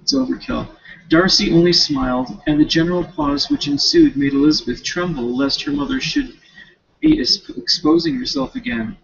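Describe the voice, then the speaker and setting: A male student reading a novel aloud with casual asides, expressive and now and then stumbling over words. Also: extremely high pitch, normal speed, vocal fry, husky, lo-fi sound.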